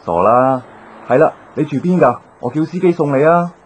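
An actor playing an effusive, satisfied male business client in a Cantonese radio drama, chummy and flattering. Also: fairly high pitch, normal speed, slight background noise, natural voice. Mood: jovial, grateful.

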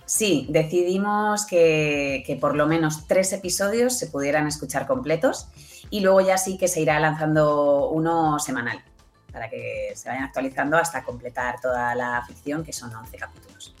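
A woman with a Spanish accent and a notably low voice. She answers as an interview guest in casual, conversational talk. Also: normal speed, soft background music. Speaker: interview guest, voice actress and audiobook director.

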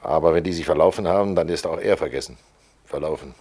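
An actor playing Death as a fat, cynical undertaker with a sardonic, matter-of-fact manner, in a 1940s German radio play. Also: male voice, very deep voice, fast talker, darkish tone, slightly rough, full character voice. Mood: dry, indifferent. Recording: old 1940s radio recording.

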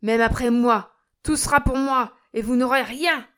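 A woman voicing a miserly, sick old fisherman in an audiobook, gruff and grumbling, turning shrill in rage. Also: a little slow, natural voice. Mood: furious. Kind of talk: audiobook character acting.

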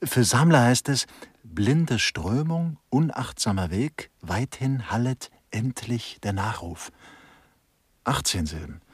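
An actor playing a droll, cynical investigator in a radio play, theatrical when reciting and offhand in his asides, with a husky voice. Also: mid-range voice, expressive, slow, no echo. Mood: offhand, mock-poetic. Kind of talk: German radio play dialogue.

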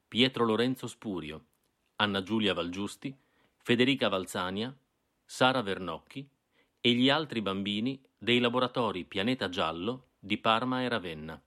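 Male announcer, lowish voice, formal scripted radio credits, measured delivery. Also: expressive, fairly bright tone.

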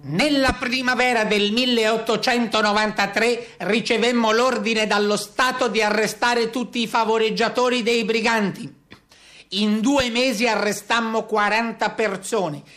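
Radio drama: stern, proud official male actor, raised high pitch, forceful declamatory delivery. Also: steady tone, measured pace. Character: stern official proudly recounting mass arrests of brigands' helpers. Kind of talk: theatrical monologue.

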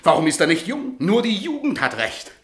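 An actor in a German radio drama playing an exuberant middle-aged male playwright with a theatrical, excitable manner. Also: high voice, moderate pace. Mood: gleeful storytelling. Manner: theatrical, swinging between musing and excitement.

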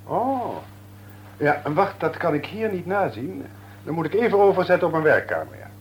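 An actor playing an enthusiastic, self-assured business owner in a Dutch radio drama. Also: male voice, on the high side, big pitch swings, moderate pace, slight background noise, rough recording. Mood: brisk, courteous.

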